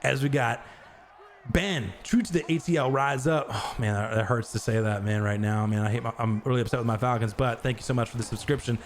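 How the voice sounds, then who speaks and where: Male stream host, casual play-by-play, mid-pitched voice, excitable on big plays, faint noise behind. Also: fairly quick. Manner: excitable, jumps to high shouts on big plays; chats with viewers between plays. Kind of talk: live game-stream commentary.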